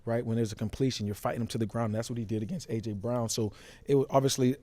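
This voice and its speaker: A man's voice: medium pitch, steady tone, very fast, warm tone. A man talking casual sports analysis as a former player, making emphatic points and repeating phrases for stress. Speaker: former safety, show analyst.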